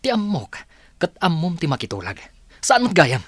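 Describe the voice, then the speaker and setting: A man's voice: a little high, huge pitch swings, moderate pace, fairly bright tone, slightly rough, no echo, full character voice. A man acting an overbearing, brusque young businessman in a Filipino radio drama, with an Ilocano accent. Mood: irritated. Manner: brusque, scolding, exclamatory.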